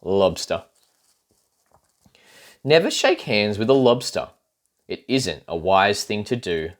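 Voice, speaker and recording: A man reading a children's rhyming picture book aloud in an expressive, playful way, with asides to young listeners. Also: on the low side, slow pace. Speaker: storybook reader.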